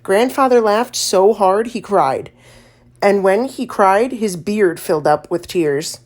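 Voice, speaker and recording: A woman reading a children's story aloud as an audiobook narrator, in an expressive storytelling manner. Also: mid-range voice, takes it slowly.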